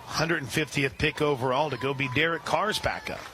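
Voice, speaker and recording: A man calling a softball game on the radio, describing each pitch and reading sponsor spots between plays. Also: a little fast, noisy background. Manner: rises sharply and loud on big plays. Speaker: radio play-by-play announcer. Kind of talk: live softball play-by-play.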